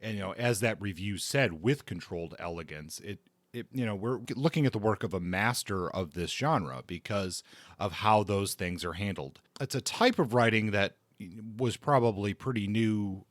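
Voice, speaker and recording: A man hosting a casual book discussion podcast, speaking deliberately and repeating phrases for emphasis. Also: fairly low pitch, animated, no room sound. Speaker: podcast host.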